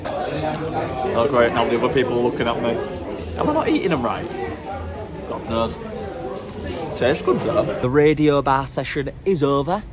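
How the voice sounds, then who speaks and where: A man's voice: mid-range voice, very animated, a little slow, long pauses, loud music behind the voice, over a noisy crowd. A man talking casually to camera as a travel vlogger, chatty and rambling with self-mocking humor.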